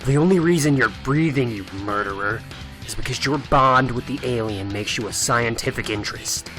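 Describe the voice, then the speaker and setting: Man playing a vengeful cartoon superhero, sardonic, intense, forceful bright voice, faint music behind. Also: medium pitch, normal speed, natural voice. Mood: cold, challenging. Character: vengeful, principled male futuristic superhero, sardonic. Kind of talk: animated superhero drama acting.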